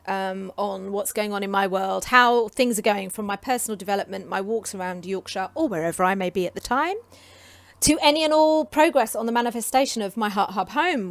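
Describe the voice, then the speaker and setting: A woman in her forties from England talks casually to camera, chatty and enthusiastic with frequent ums, her voice getting hoarse. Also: medium pitch, no echo. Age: 40s. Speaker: creative coach and vlogger.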